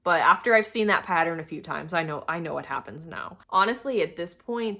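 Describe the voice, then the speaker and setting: A woman's voice: lowish voice, pushed and bright. A young adult woman chatting casually as she tells a personal story, acting out bits of past conversations with exasperated asides. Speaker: storytime video creator.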